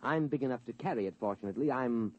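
An actor playing a conceited bohemian painter with an American accent, pompous and boastful, in a 1950s radio play. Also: male voice, mid-range voice, fast, lightly acted. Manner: pompous, self-admiring. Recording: dull vintage radio recording.

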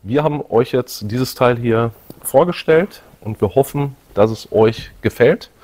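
A man's voice: fairly low pitch, a little mellow. A middle-aged man hosting a video, chatting casually to viewers and his guest. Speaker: video host. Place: indoor event room with collection displays.

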